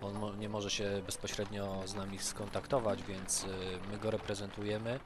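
A man's voice: on the low side, flat delivery, normal speed, noisy background. A man taking part in a tabletop role-playing game, thinking aloud hesitantly as he describes what his character says and does.

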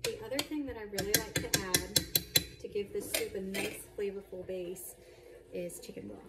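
A woman's voice: mid-range voice, moderate pace. Chatty woman in a noisy kitchen. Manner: chatty, explanatory asides while working. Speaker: home cooking vlogger. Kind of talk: casual cooking demonstration, talking to camera. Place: home kitchen, indoors at night. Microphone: camera mic a short distance away. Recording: clearly noisy home kitchen recording.

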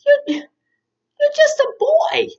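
A woman voicing a commanding king who is brisk and dismissive. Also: very high voice, a little mellow, voice pushed up, heavily acted. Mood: commanding, doubtful. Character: doubtful commanding king, a grown man. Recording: dull, distant-mic recording.

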